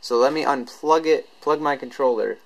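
A man presenting a tech tutorial in a casual, informal, unscripted way, halting as he explains each step. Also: medium pitch, vocal fry.

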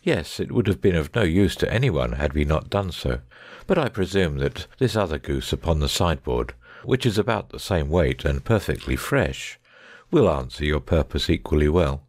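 A man's voice: extremely low pitch, big pitch swings, normal speed, a little mellow, no echo, exaggerated voice. A man playing a shrewd, self-assured detective in a dramatised audiobook, speaking precisely and formally. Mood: courteous, probing.

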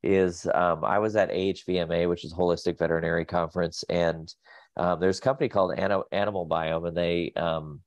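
A man's voice: deep voice, steady tone, brisk, no echo, dull audio. A man in his thirties with a nasal voice and a cold, rambling with many fillers as a guest on a casual video call. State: sick with a cold. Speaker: guest, pet nutrition company staff. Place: home office.

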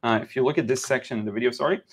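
A man in his thirties from Israel, teaching watercolor painting on a live stream in a relaxed, chatty way with many fillers and restarts, recorded in a home studio on a headset mic. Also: mid-range voice, racing, breathy edge. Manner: relaxed, chatty, frequent "uh" and repeated words. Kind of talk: live-stream painting lesson with chat replies.

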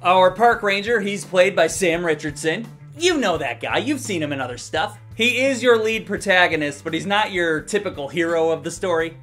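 A man in his thirties reviewing films to camera in a casual, comic, animated and sweary way. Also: sits high, normal speed, hardly any pauses. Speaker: film reviewer. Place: small indoor room. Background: faint background music.

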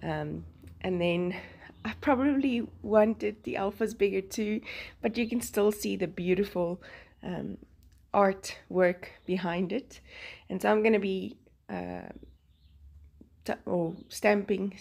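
A woman's voice: mid-range voice, takes it slowly, creaky, long pauses, a little mellow. A woman with a South African accent whose first language is Afrikaans, chatting with frequent laughs in a craft voice-over. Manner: chatty, laughs often between phrases. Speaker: Bible journaling channel host. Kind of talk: voice-over narrating a craft process.

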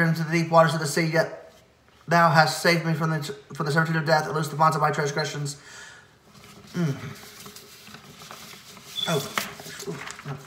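A man leading a church service, chanting hymn verses in a quick, steady recitation with occasional stumbles and self-corrections. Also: fairly high pitch, reverberant.

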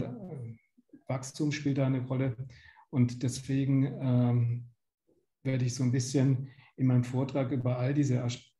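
A man's voice: mid-range voice, dark tone. A man in his fifties from Germany giving an explanatory, somewhat hesitant medical lecture over a video call. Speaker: medical lecturer, pediatric gastroenterologist. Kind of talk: slide lecture to patients. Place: small indoor room, on video call. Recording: roomy home or office echo.

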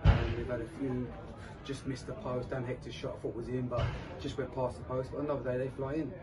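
A young man answering post-match questions as a footballer, casual and halting, repeating words. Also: mid-range voice, little pitch movement. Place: outdoors by a sponsor board at a football ground. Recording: distant mic with crowd noise.

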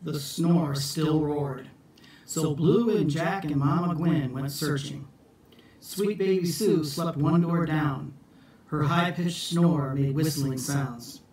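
Slow-paced middle-aged man reading a storybook. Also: fairly high pitch, darkish tone. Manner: deliberate, theatrical storybook delivery. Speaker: storytime reader for schoolchildren. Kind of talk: expressive children's picture book read-aloud. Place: small room at home, indoors. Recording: echoey room, distant phone mic.

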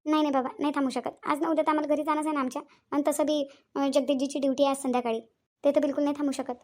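A woman's voice: high-pitched, flat delivery, very fast, darkish tone, natural voice. A woman playing a tender, earnest young married village woman in a family drama, with a Varhadi Marathi accent and an emotive, pleading way of repeating phrases. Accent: Varhadi Marathi from Vidarbha. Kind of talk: amateur family drama acting.

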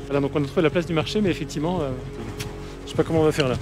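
A man's voice: fairly high pitch, steady tone, rapid-fire, music in the background. A young man chatting casually to an action camera outdoors as a trip vlogger. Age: young adult. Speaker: vlogger on an electric unicycle trip. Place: outdoors, village streets and forest trails. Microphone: action camera at arm's length.

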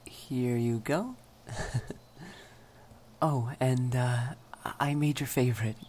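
A man voicing a shy, flustered young male vampire in a soft ASMR roleplay, stammering and hesitant. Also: medium pitch, slow pace, a little hiss or hum, natural voice. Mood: flustered, bashful.

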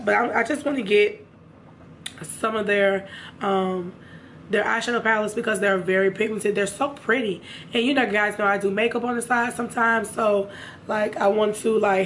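A young woman with a raspy, hoarse voice, chatting casually to her viewers about makeup in a room at home. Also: medium pitch, slight background noise. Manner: chatty, addresses viewers directly. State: hoarse from a sinus infection. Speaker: beauty vlogger. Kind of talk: casual makeup haul chat.

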